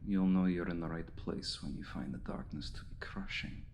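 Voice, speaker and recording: An ancient male vampire, acted by a man, speaks in a low, creaky, gravel-edged voice. He is seductive and condescending, deliberate in his hypnotic phrasing, often dropping to an intimate murmur. It is an ASMR roleplay with a faint layer of noise behind the voice. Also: steady tone, a little mellow. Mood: amused, softly menacing.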